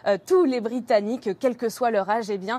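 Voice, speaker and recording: Higher-pitched woman reporting live. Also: normal speed, dark tone. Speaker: foreign correspondent. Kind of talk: live TV report, semi-spontaneous explanation.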